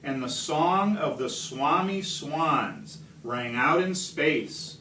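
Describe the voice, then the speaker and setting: A man reading a rhyming picture book aloud to children, expressively, with deliberate, dramatic pauses. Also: fairly high pitch, lively intonation, pushed and bright. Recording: roomy, distant home video with slight noise.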